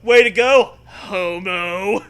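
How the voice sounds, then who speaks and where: A man reading a comic storybook aloud with animated, profane casual banter. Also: high voice, extremely slow, a little hiss or hum. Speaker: reader of a comic choose-your-own-adventure book.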